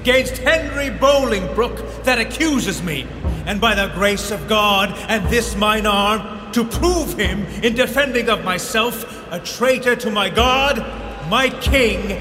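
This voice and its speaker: An actor playing a proud, defiant knight in a Shakespearean radio drama, declaiming ceremonial verse. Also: male voice, sits high, slow pace. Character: proud knight duke, defiant and honour-bound. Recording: echoey hall with loud reverb. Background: music.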